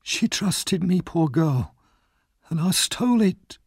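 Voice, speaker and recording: A man's voice: high-pitched, animated, takes it slowly, slightly rough, dry recording, studio quality, pitched above the performer's own voice, heavily acted. A man voicing a frail, dying old woman in an audiobook, her voice cracked and wavering. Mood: guilty, urgent.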